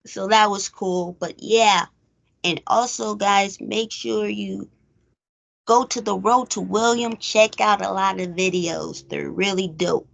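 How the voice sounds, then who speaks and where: A woman hosting a recap show, chatting casually about a film in an animated way, throwing out rhetorical questions. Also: medium pitch, slow pace, gravelly. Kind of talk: casual chat movie review.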